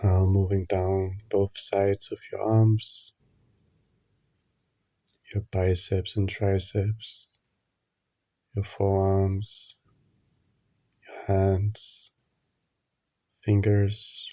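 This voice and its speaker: A man leading a guided meditation, giving gentle instructions in short phrases with long pauses. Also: low voice, monotone, dry recording, lo-fi sound.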